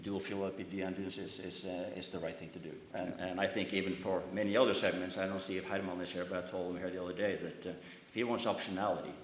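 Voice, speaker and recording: Lowish-voiced guy on a shipping panel, stops and restarts a lot, echoey room. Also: level tone, a little hiss or hum. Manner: halting, many restarts and fillers. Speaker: shipping company CEO on a panel. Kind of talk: conference panel talk. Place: conference hall.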